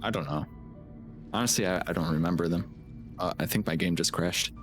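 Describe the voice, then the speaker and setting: Deep voiced guy chatting on a game stream, laid back n sarcastic, swears, music behind him. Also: a little fast. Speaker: game livestreamer. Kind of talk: casual gaming commentary with swearing.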